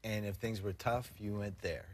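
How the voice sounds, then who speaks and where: A man's voice: lowish voice, fairly even intonation, moderate pace. A male host asking casual interview questions with long, chatty setups.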